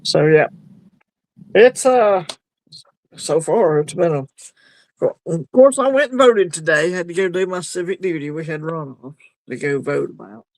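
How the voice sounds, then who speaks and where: A man hosting a casual livestream chat, rambling and easygoing, quick to laugh. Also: fairly high pitch, low-quality recording. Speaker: livestream host.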